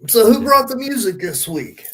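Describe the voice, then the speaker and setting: A man's voice: very high-pitched, very animated, normal speed. A man hosting a podcast in a casual, conversational style. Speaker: podcast host. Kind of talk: casual chat.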